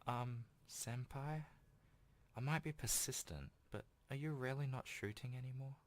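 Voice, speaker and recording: A man's voice: medium pitch, a little slow, pitched above the performer's own voice, natural voice. A young man voicing a shy, earnest, polite teenage schoolgirl from a visual novel. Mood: earnest, hopeful.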